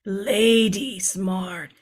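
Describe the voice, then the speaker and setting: A woman playing a picky, scornful zombie in a comedic audio play, talking in blunt broken English with a creaky, husky voice. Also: medium pitch, steady tone, very slow. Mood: scornful, hungry.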